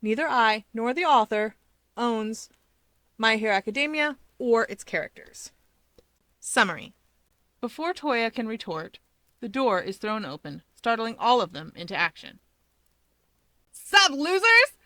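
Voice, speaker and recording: A woman hosting and narrating fan fiction in an expressive scripted reading style. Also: a little high, moderate pace, no echo. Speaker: channel host and story narrator.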